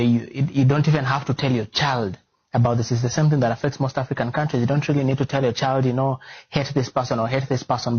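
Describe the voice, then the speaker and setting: A man's voice: medium pitch, a little mellow, breathy edge, highs cut off. A male interview guest giving a long, earnest explanation with frequent fillers. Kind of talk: interview answer.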